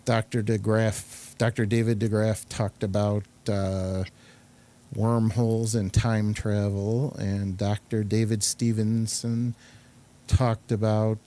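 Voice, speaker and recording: A man hosting a casual group chat, halting and often searching for his words. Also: on the low side, little pitch movement, dark tone. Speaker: host.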